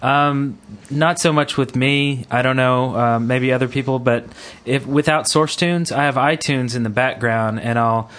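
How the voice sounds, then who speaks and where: Male podcast co-host with a medium-pitched voice, informal and jokey in conversation. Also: fairly even intonation, a little slow, hardly any pauses, a bit bright. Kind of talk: casual joking chat.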